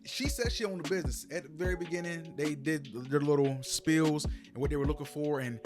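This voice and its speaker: A man's voice: a little high, brisk, music in the background. A man hosting a reaction video, explaining his views in a casual, lecturing way and often repeating himself. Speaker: commentary host reacting to a clip.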